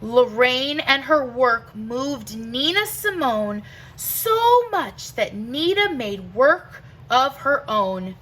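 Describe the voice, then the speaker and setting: A woman reading a rhyming children's picture book aloud like a teacher, with expressive, deliberate and emphatic phrasing. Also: high voice.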